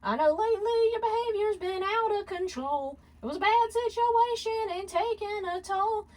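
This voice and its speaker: A woman acts out a scheming ex-wife with a voice pitched well up. She is brash and manipulative, defensive and pleading by turns, in a dramatic story reading. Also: expressive, normal speed, darkish tone. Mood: pleading, singing. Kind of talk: dramatic fan fiction reading, partly sung.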